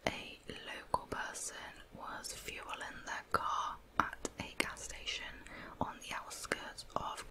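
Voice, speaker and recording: A woman narrating in an ASMR style, entirely in a whisper, telling a story in a measured, matter-of-fact way. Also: low-quality recording. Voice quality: whispered, unvoiced. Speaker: ASMR true crime storyteller.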